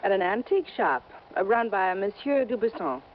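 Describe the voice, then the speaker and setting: An earnest, flustered young woman witness played by an actress speaks with very animated pitch. The style is 1950s radio drama, on a dull old recording with faint noise. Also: brisk, natural voice. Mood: earnest, insistent.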